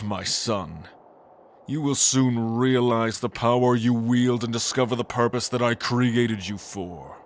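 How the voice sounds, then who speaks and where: Man acting slow, grandiose villainous angel lord. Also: mid-range voice, slight background noise, natural voice. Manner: grandiose, condescending, deliberate. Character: cold, manipulative angel lord, scheming villainous father. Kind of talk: fantasy audio drama acting.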